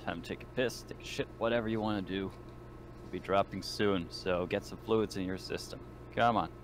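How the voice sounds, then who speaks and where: A low-voiced, crude military lieutenant, played by a man at a game table, barks brisk, profane orders at the squad. Faint noise sits behind the voice. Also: fairly even intonation, close to an everyday voice. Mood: brisk, commanding. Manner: commanding, profane. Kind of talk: tabletop roleplay in character.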